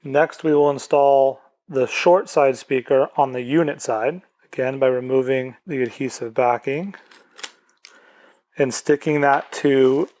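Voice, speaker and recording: A man's voice: mid-range voice, fairly even intonation, unhurried, darkish tone. A man presenting an instructional product tutorial, explaining plainly step by step.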